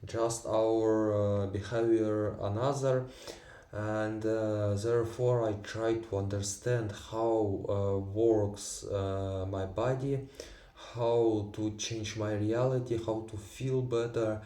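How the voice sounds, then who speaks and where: A man who speaks Tatar and Russian natively, talking in halting English with many fillers and restarts in a personal vlog. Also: lowish voice, steady tone, mellow, echoey room, distant mic. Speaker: vlogger. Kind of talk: unscripted personal monologue.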